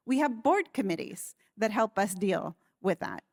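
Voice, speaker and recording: An American woman on a conference panel speaking in a measured, articulate way, politely challenging a point. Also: mid-range voice, lively intonation, a little mellow, no room sound, clean studio sound. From United States. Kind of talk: panel discussion.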